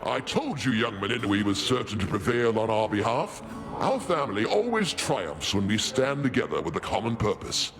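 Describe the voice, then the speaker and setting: A man's voice: fairly low pitch, big pitch swings, normal speed, music in the background. A man playing a grand older king of the gods in a video game, pompous and theatrical in delivery. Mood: proud, pleased. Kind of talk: dramatic video game voice acting.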